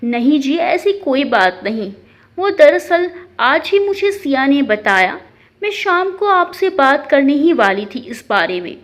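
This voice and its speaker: A woman's voice: sits high, big pitch swings, unhurried. A woman voicing a patient middle-aged mother who placates and reasons in a family story. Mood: placating. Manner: placating, persuading. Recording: dull, lo-fi sound.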